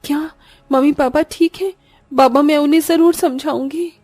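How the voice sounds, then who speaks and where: A woman's voice: high-pitched, steady tone, normal speed, a little mellow, no room sound, faint music behind, lightly acted. A woman voicing a sorrowful young bride in a cartoon story, earnest, plaintive and pleading. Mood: sad pleading.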